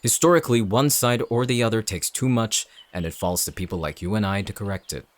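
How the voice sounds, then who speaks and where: An actor playing a cold, calculating villain in an audio drama, speaking in a measured, smug, menacing way. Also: male voice, on the low side, no room sound, close to an everyday voice. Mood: condescending. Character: cold, calculating male villain leading an anti-shifter operation. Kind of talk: dramatic audio roleplay acting.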